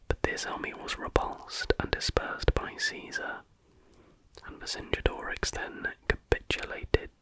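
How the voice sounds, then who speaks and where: A person reading a factual text aloud in an unvoiced, breathy whisper, in a careful, measured ASMR style. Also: very high voice, bright, forceful tone, low-quality recording. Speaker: reader.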